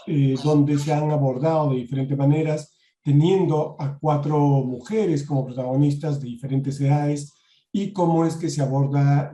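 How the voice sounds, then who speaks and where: A man moderating an online academic seminar, speaking in a measured, polite way with frequent pauses. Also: medium pitch, warm tone, echoey room. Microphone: video call.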